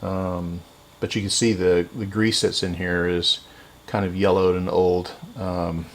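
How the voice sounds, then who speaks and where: A man giving casual, unscripted narration of hands-on repair work, halting and thinking aloud as he goes. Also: deep voice, level tone. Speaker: repair video host.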